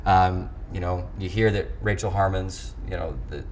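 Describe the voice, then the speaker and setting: A male sports show host with a very low voice conducts a conversational interview. He phrases things in a wordy, halting way, repeating words, with faint noise in the studio behind him. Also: steady tone. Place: television studio.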